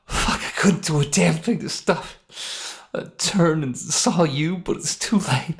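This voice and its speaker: A man's voice: a little high, pitched above the performer's own voice. Man acting out an anguished, guilt-ridden criminal, voice raised. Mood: anguished, grieving. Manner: emotional, halting, pleading monologue. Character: anguished, guilt-ridden male criminal grieving his brother. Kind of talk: dramatic monologue in an audio story.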